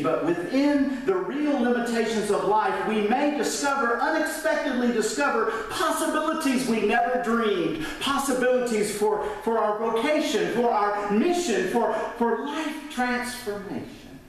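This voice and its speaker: Dramatic preacher in an echoey church. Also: male voice, very high voice, lively intonation, measured pace, few pauses, recorded from a distance, a little hiss or hum. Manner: dramatic preaching, swelling up then dropping to near whisper; gestures widely at the pulpit. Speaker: pastor. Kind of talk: sermon. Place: large church sanctuary.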